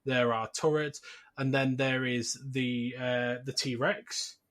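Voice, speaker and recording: Man, podcast host, mid-pitched voice, casual film recap, conversational. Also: fairly even intonation, slow pace, fairly bright tone. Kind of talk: explaining a film plot in casual podcast chat.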